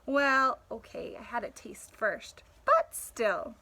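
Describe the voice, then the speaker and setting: Lady doing a cynical street dog telling his storybook tale, high bright voice, blunt and sardonic. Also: wildly swooping pitch, slow, full character voice, rough recording. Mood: defiant. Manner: sardonic, punchy, conversational. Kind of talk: storybook first-person narration.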